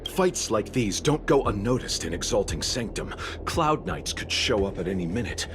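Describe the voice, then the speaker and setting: A man's voice: fairly low pitch, lively intonation, moderate pace, noisy background, lightly acted. A man voicing a polished, courteous smuggler in a game cutscene. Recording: game audio over music.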